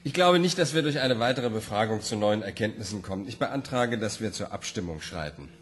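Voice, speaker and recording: Pompous impatient scientist played by a man, low voice, lively swings. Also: brisk, close to an everyday voice. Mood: argumentative. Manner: argumentative, lively.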